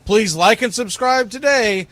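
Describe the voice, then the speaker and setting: A man's voice: high voice, unhurried, fairly bright tone, dry recording. A man hosting a live stream with play-by-play sports commentary and chat to listeners.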